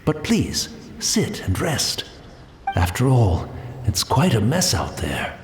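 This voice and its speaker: A man's voice: medium pitch, wildly swooping pitch, slow pace, music in the background. A courteous, humble tea master in a video game, echoing slightly in a roomy space. His male voice is formal and deferential, rising and falling widely as he addresses his superior. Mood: humble, hospitable. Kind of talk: English video game voice acting. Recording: echoey space with faint noise.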